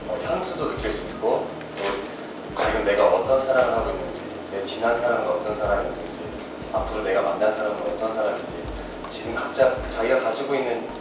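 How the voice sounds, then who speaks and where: A man's voice: fairly low pitch, quick pace, background noise. A man in his thirties at a press event, speaking measuredly with many fillers into a handheld mic. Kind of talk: press interview answers. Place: indoor press conference hall. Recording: echoey hall from far off.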